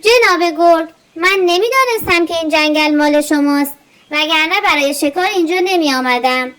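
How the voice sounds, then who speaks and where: A woman voicing an opportunistic male hunter in a children's storybook, in an expressive manner. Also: extremely high pitch, pitched above the performer's own voice. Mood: frightened. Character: opportunistic male hunter, timid when threatened.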